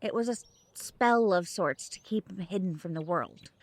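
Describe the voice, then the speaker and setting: A woman playing a protective, gentle mother of a magical bloodline in an audio drama, with measured, solemn phrasing. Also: lowish voice, no echo. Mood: solemn, grave.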